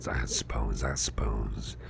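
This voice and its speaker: An extremely low, crackling growl of a big businessman boss acted by a man in an ASMR roleplay. He murmurs in a breathy half-whisper, slowly and haltingly, gentle but fretful. There is clear noise behind the voice. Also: fairly even intonation, heavily acted. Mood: musing, wary. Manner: halting, murmured, soothing, with repeated phrases.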